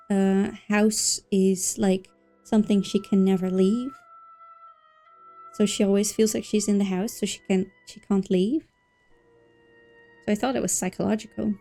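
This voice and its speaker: A woman livestreamer giving casual, informal commentary on a game, musing out loud. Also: mid-range voice. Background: game music.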